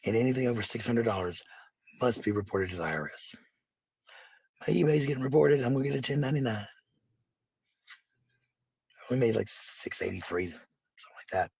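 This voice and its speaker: A man with a raspy, gravelly voice in casual, rambling chat, blunt and swearing freely. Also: medium pitch, lively intonation, brisk, pauses often, a little mellow, no room sound, poor audio.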